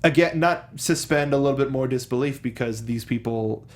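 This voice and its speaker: A man co-hosting a movie show, giving conversational commentary in an animated way and acting out imagined quotes. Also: medium pitch, moderate pace. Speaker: co-host of a movie discussion show.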